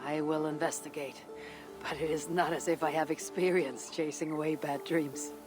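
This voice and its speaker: A woman's voice: deep voice, fairly even intonation, natural voice. A woman playing a proud, stoic Viking warrior leader in a video game, speaking tersely and plainly. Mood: doubtful. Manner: terse, measured, matter-of-fact. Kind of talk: video game character acting. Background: game music.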